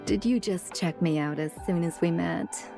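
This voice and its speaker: Papillon, a flirty teasing ally. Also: female voice, lowish voice, moderate pace, creaky. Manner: teasing, calls others honey. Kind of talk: game voice acting. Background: game music.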